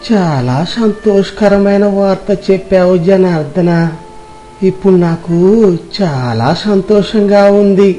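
Man playing a village father, very high male voice, slow, wistful, humble, story drama. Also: warm tone, muffled recording, soft background music. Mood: pleased, hopeful. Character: worried village father, grateful yet wistfully resigned. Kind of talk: dramatized moral story dialogue.

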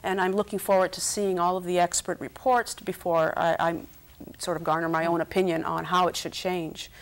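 Earnest woman guest, lowish voice. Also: little pitch movement, fairly quick, a little hiss or hum, poor audio. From Canada. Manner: earnest, repeats words while thinking. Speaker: politician guest. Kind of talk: studio panel discussion. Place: TV studio set, indoors.

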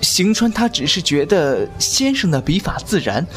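A man's voice: high voice, sing-song, moderate pace. A man playing a polite young nobleman who gets flustered when teased, with music behind him. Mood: courteous. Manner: courteous, flustered when pressed. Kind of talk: Chinese audio drama acting. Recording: over background music.

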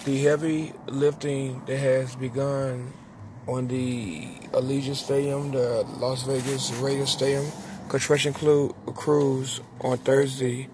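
A man in his forties reading a newspaper article aloud in a parked car, halting and restarting words, with his own asides. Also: mid-range voice, little pitch movement. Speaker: vlogger reading the news aloud. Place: inside a parked car at dusk. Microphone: phone held at arm's length. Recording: phone audio with clear car and road noise.

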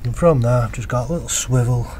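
A man talks through a fishing rig in a relaxed, chatty way. His voice sits at an ordinary middle pitch. It is recorded outdoors on the camera's own mic, with faint outdoor noise in the background. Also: fast. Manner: relaxed, chatty, plain-spoken. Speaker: angler showing a fishing rig. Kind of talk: casual how-to vlog. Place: outdoors by a lake.

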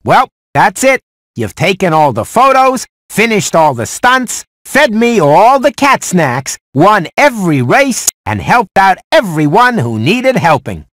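A man's voice: sits high, very animated, measured pace, a bit bright, no echo, full character voice. A man voicing a lazy, sentimental, wisecracking house cat in a video game, drawling and theatrical. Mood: sardonic. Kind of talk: video game cutscene acting.

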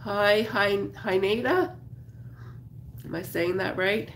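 A woman in her thirties with a cold, raspy and congested, chatting casually with viewers on her home livestream while crocheting. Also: mid-range voice, slow pace, fairly bright tone, reverberant, a little hiss or hum. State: congested, sore throat. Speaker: craft livestream host. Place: small home craft room, indoors.

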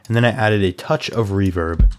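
Young male tutor, slightly raspy, lower register, laid-back conversational delivery, home desk mic. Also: moderate pace. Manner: relaxed, chatty, filler-heavy explaining. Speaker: music production tutor. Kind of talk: casual tutorial walkthrough. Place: small room at home.

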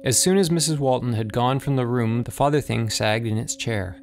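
A man's voice: mid-range voice, normal speed, a little mellow, faint music behind. A man reading scripted narration of a suspense story as an audiobook narrator.

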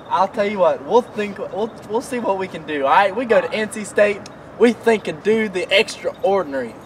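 A man's voice: high voice, normal speed, lightly acted. Young men putting on rustic Southern country-boy voices, bantering in a drawling hick manner outdoors. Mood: joking. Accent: put-on American Southern. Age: young adult. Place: outdoors on a wooden deck by woods. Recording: noisy outdoor recording.

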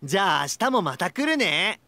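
A man playing a hyperactive, cheerful, childlike young goofball in an anime mobile game, with a bright boyish voice and an exuberant manner. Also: high voice, big pitch swings, moderate pace, no room sound, exaggerated voice. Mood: curious, musing. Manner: exuberant, bursting into shouts and laughs.

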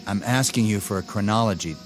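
An actor playing a stern, arrogant young army lieutenant in a radio drama, with a clipped, commanding, condescending manner. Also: male voice, fairly low pitch, quick pace, faint music behind, close to an everyday voice. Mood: cool, condescending.

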